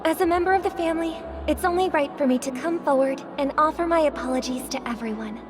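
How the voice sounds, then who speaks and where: A woman's voice: sits high, quick pace, lightly acted. An actress playing a poised, gracious young female celebrity in a video game, speaking in a composed, formal way. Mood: polite, reassuring. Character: poised, gracious young celebrity songstress with a weary, smug undertone. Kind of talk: scripted video game dialogue.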